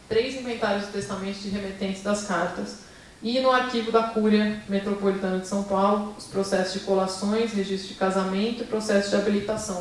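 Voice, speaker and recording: A woman's voice: mid-range voice, a little hiss or hum. A woman with a Brazilian Portuguese accent giving a seminar talk on her research, hesitant, with many fillers and restarts while asking for the next slide. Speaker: seminar lecturer. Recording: echoey hall, speaker far from mic.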